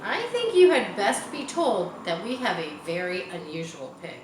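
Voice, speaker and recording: A woman's voice: medium pitch, sing-song, normal speed, close to an everyday voice. Woman voicing a solemn, awestruck farmer, echoey room. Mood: grave, shaken. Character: earnest, awestruck farmer man, solemn and shaken. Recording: echoey room, faint background noise.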